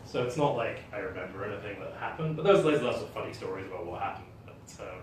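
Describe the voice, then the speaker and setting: A man's voice: fairly low pitch, lively intonation, fast talker, a crowd in the background. A man in his thirties with a mixed colonial English expat accent, chatting casually as a game designer guest, rambling with many fillers and frequent laughs. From England. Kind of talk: casual interview chat. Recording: echoey room, distant mic, faint noise.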